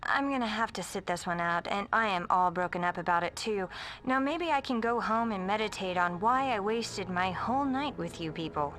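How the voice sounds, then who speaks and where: A woman's voice: mid-range voice, lively intonation, moderate pace, a bit bright, natural voice. An actress playing a spoiled, vain young woman who talks in a whiny, petulant way. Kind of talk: video game voice acting.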